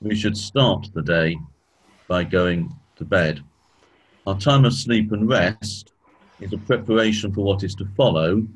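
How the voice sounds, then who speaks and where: A man with a croaky voice, clearing his throat often as he leads a church study session, slowing down on key points. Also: low voice, low-quality recording. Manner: measured, slows down on key points. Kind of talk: spoken teaching talk.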